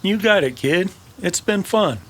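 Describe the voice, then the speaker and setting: A man playing a wry, gruff cowboy gunman with a folksy manner, in an old-time radio Western. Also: high-pitched, expressive, a little slow, a bit bright, pitched above the performer's own voice, heavily acted. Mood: wry, friendly.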